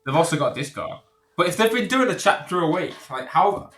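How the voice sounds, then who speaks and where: A man with a bright, forward voice banters casually on a comedy podcast. He is sweary and conversational, recorded in a noticeably echoey room. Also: fairly high pitch, very animated, brisk. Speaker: podcast panellist.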